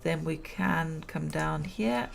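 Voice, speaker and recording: Woman streamer, slow and chatty, gravelly voice going hoarse, laughs at her own jokes. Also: low voice, slight background noise. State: losing her voice. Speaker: gameplay commentator. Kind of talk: relaxed let's-play commentary.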